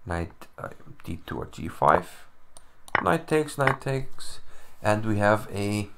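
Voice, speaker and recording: A man's voice: fairly low pitch, very animated, slow, creaky, very noisy. A man with an Icelandic accent casually analyzing a chess game from home, chatty and self-deprecating as he thinks aloud. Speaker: chess commentator. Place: home room. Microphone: computer mic, no camera.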